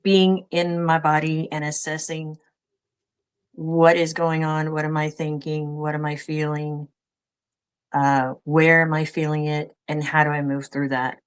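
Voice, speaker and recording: A woman guest on a podcast, answering in a halting way and searching for words with many fillers. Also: low voice, little pitch movement, clear, resonant tone. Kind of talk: conversational interview answers.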